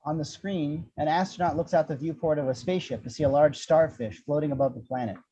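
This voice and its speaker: Guy narrating script action lines at a table read, kinda high voice, muffled lo-fi sound. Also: fairly even intonation, fast talker, dark tone, breathy edge. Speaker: narrator reading action descriptions.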